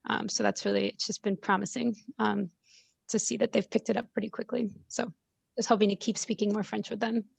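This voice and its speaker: A woman with a gravelly voice and an even, level delivery chats on a video call. The sound is dry and close, and she speaks as a relaxed parent in a group. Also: medium pitch, fairly quick, dry recording. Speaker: participant parent. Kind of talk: casual video call chat. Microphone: laptop video call.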